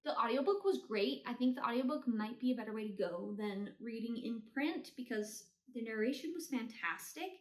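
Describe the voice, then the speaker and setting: A woman giving a casual, chatty book review with blunt, hyperbolic opinions and slangy phrasing. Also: a little high. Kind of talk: casual chatty ranking of books read. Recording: roomy, echoey sound.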